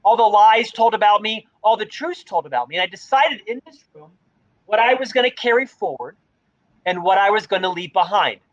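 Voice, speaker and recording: A man's voice: high voice, fairly quick, dull audio. A middle-aged man who has a stutter, telling stories energetically as a guest in an interview. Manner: energetic storytelling with a mild stutter. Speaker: podcast guest, leadership author. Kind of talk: conversational interview.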